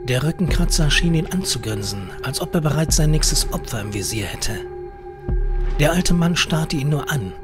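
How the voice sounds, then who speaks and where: A man's voice: a little high, lively intonation, moderate pace. Man narrating a horror story. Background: eerie horror music.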